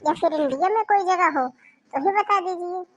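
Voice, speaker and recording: A woman voicing a caring young sister-in-law who talks gently and reassuringly. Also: extremely high pitch, normal speed, highs cut off, a little hiss or hum. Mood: soothing.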